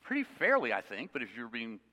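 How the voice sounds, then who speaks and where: A man's voice: mid-range voice, huge pitch swings, fairly quick, pushed and bright. A man preaching an expository sermon to his congregation, conversational with self-deprecating asides. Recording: long reverb of a hall.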